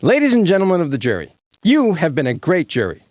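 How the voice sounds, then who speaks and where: Bumbling cartoon attorney, smug and rambling, high voice swooping about, dry recording. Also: male voice, wildly swooping pitch, quick pace, a little mellow, no echo. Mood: silly, smug. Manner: rambling, chuckling, showy. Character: bumbling, excitable cartoon lawyer, silly and smug.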